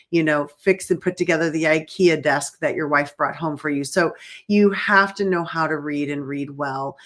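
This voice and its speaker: A woman with a slightly low-pitched voice talks as the host of a conversational show. She speaks emphatically, driving points home by repeating them. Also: a bit bright. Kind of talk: conversational talk show discussion.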